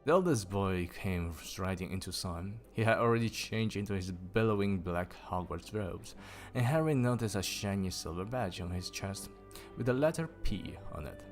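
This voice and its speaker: A man streaming a casual story reading, raising his pitch for the characters and stopping to laugh and swear at typos. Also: expressive, moderate pace, faint music behind. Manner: lifts pitch for quoted characters. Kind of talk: casual livestream book reading.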